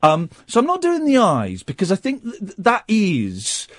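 A man's voice: high voice, wildly swooping pitch, moderate pace, no echo. A male radio host in casual studio chat, rambling with stammered restarts and musing questions.

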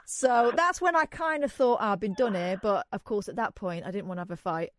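A woman from England chats on air, telling stories with animated, self-mocking humor. Her voice sits at a middle pitch and is recorded close and dry in a studio. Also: fairly quick, no room sound. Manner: chatty, animated storyteller with self-deprecating humor. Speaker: radio presenter. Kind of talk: casual radio chat.

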